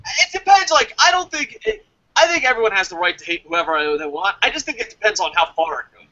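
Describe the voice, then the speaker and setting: A man's voice: sits high, sing-song, fast, bright, forceful tone, lo-fi sound. A man chatting casually as a review show host, opinionated and emphatic, swearing freely and rambling as he goes.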